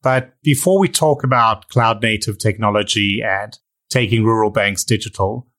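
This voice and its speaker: A man with a South African accent hosting a podcast, reading a measured, explanatory intro and then asking interview questions. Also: on the low side, fairly bright tone. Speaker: podcast host.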